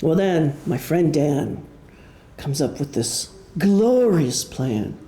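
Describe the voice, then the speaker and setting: A man's voice: fairly high pitch, expressive, takes it slowly, dark tone. A middle-aged man giving an informal talk as an author, telling stories in a wry, self-deprecating way. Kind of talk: informal prepared talk to an audience. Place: indoor room, live presentation. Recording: echoey room.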